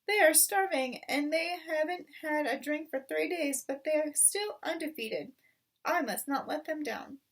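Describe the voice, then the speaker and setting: A woman's voice: high voice, distant mic, voice pushed up, poor audio. A woman voicing a weary, caring father fox in a storybook, speaking thoughtfully and carefully. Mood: proud, hopeful. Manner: careful, measured.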